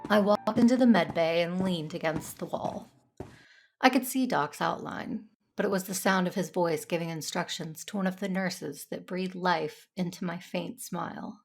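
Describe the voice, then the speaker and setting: A troubled, dutiful Jedi woman voiced by an actress narrating a science fiction audio drama. Her voice sits fairly low, and she speaks earnestly, turning inward with a conflicted, reflective delivery. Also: normal speed, soft background music.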